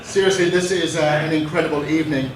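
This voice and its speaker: Pastor, male, East Texas accent, warm joking outdoor speech, heard from afar with noticeable noise. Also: on the high side, steady tone, quick pace. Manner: warm joking, with filler ums and uhs. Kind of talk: public speech at outdoor ceremony. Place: outdoor street gathering. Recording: echoey from far off.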